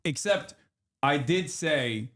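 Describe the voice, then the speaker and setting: A man's voice: a little high, expressive, slow, a bit bright. A man in his thirties doing casual live-stream commentary, reacting to a video with crude, teasing jokes for his chat.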